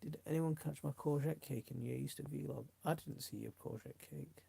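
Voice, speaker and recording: A man's voice: on the high side, normal speed, creaky, mellow, husky, no echo. A middle-aged man with a Yorkshire accent chatting casually and informally as the host of a live stream, rambling along and reading out comments in a chummy way. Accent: Yorkshire English. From South Yorkshire, England.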